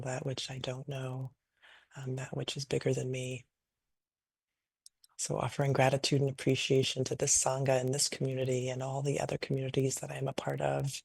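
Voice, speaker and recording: Husky, creaky woman guiding a meditation. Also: extremely low pitch, dry recording. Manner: gentle, unhurried, invitational, with long pauses. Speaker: meditation teacher leading a guided session. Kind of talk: guided meditation, softly improvised. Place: online video call session.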